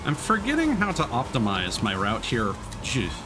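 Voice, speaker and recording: A man's voice: mid-range voice, huge pitch swings, moderate pace, music in the background. A man doing casual live gaming commentary, thinking aloud and chatting to his viewers. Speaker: livestreamer, gamer.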